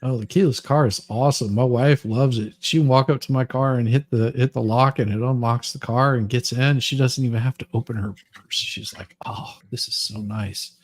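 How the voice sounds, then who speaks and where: Man, mid-pitched voice, sardonic host, casual banter and letter reading, dry sound. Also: fairly even intonation, moderate pace, few pauses, no echo.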